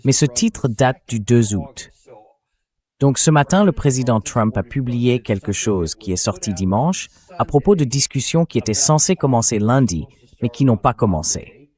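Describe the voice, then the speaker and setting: A man's voice: mid-range voice, animated, normal speed, darkish tone. A man hosting a political interview, speaking in a dubbed news commentary style. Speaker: interview host.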